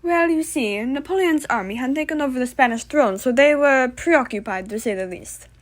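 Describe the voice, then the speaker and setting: A woman's voice: high voice, expressive, normal speed. A woman playing a proud, self-satisfied male revolutionary leader being interviewed in a comedic puppet show. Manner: boastful, matter-of-fact.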